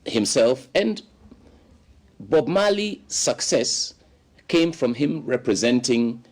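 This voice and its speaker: Animated man, lively storyteller. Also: mid-range voice, slow. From Ghana. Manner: expansive, animated storytelling with many "you know"s. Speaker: guest. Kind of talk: studio interview.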